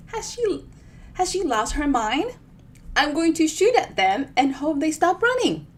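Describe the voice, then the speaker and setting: A woman's voice: high voice, very animated, unhurried, voice pushed up. A woman voicing an exasperated, dry-humored woman from a comic novel, incredulous and emphatic. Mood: wry.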